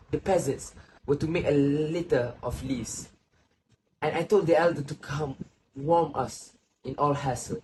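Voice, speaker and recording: A sorrowful old grandfather in a stage drama, acted by a man. His voice sits a little high and moves slowly and solemnly through reflective, literary lines. There is faint noise behind him. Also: a little mellow, lightly acted, poor audio. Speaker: student actor. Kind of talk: literary stage play acting.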